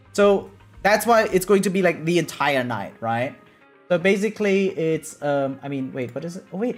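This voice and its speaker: A woman game streamer chatting casually and reacting live, in an informal way. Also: medium pitch. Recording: game music behind voice.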